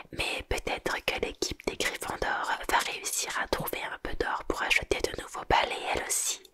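Whispering storyteller reading a novel. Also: sharp tone. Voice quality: breathy, unvoiced whisper. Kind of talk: whispered audiobook reading, ASMR.

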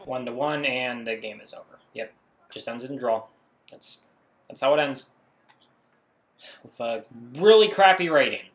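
A man's voice: medium pitch, a little slow, long pauses, a bit bright. A man giving casual gameplay commentary, talking to himself in exasperation and often repeating phrases. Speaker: gaming video creator.

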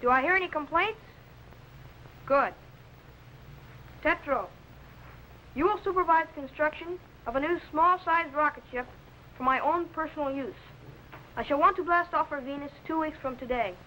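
A man's voice: extremely high pitch, normal speed, pauses often, pushed and bright, full character voice, rough recording. A young teenage boy playing a pompous, earnest explorer's assistant in a 1950s children's sci-fi show. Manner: pompous, earnest, carefully enunciated. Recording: vintage film soundtrack, faint noise.

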